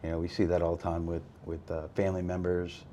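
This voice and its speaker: Host, male, deep, easygoing and reflective, slight background hiss. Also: quick pace. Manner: relaxed, reflective, many fillers. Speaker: podcast host. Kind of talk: faith-based recovery conversation.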